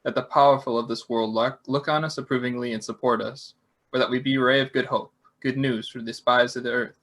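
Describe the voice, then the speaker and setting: A man reads spiritual quotations in an earnest, measured way. His pitch is average and his delivery plain. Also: steady tone, fairly bright tone. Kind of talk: reading quotations at a memorial.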